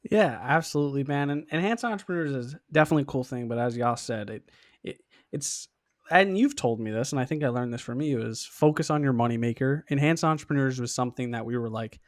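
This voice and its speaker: A man's voice: mid-range voice, no echo, studio quality. A young man hosting a podcast in a casual, chummy, slangy interview style. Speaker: podcast host.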